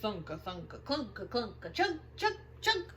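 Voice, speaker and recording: A woman reading aloud to young children as a storytime host, expressive and sing-song, drawing out the sound words. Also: a little high, a little slow, slight background noise. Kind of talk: animated picture-book read-aloud for young children.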